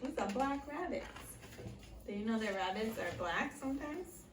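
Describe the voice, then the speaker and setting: A woman's voice: a little high, expressive, normal speed. A woman teaching young children English through an interactive read-aloud, using simple short phrases and prompting questions. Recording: echoey room, distant mic, faint noise.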